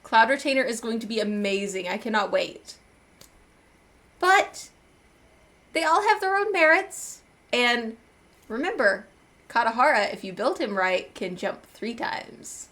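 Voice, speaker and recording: A woman's voice: fairly high pitch, very animated, a little slow, a bit bright. A woman streaming a game, giving casual, playful, chatty commentary as she reacts to the story, with game music behind her.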